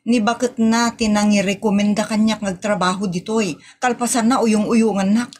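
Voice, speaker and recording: A woman with an Ilocano accent acting in a comedy audio drama, chatty and lively. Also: medium pitch, moderate pace. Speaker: voice actor.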